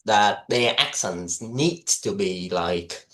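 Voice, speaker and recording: A man with a Vietnamese accent talks through ideas in a relaxed, rambling way. He speaks slowly, in a lowish voice, often pausing on "you know" and "like." It is a casual co-hosted discussion. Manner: loose, hesitant, many fillers, laughs between points.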